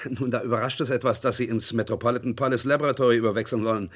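An actor playing a polite, probing magazine reporter in a 1970s German radio crime drama, measured and slightly hesitant. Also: male voice, medium pitch, fairly even intonation, close to an everyday voice.

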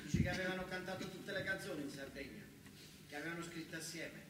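A man's voice: fairly high pitch, fast. A man with a southern Italian accent delivers a theatrical first-person monologue in a colloquial way, with emphatic repetition. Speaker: stage storyteller. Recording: roomy, distant, noisy live recording.

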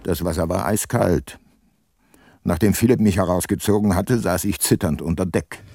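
An actor playing a world-weary private detective who narrates his case soberly in a crime radio drama, with a husky voice. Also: low-pitched, normal speed, mellow. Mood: sober, reflective.

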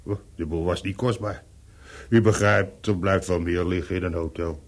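An actor playing a discreet, worldly-wise Paris hotel servant in a radio play, with a gravelly, husky voice and a deferential, dry, hesitant manner. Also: male voice, deep voice, steady tone, normal speed. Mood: discreet, matter-of-fact.

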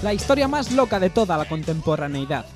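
A performer playing an over-excited movie trailer announcer in a parody, declaiming dramatically. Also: medium pitch, fast, natural voice. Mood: bombastic. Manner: dramatic, declamatory, shouting at times. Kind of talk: parody film trailer narration. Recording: loud music bed over voice.

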